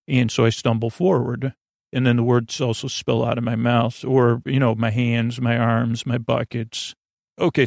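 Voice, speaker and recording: A man with a creaky voice hosting a bedtime podcast, meandering and stumbling over words in a soothing, low-key improvised monologue. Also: a little mellow, no echo, clean studio sound.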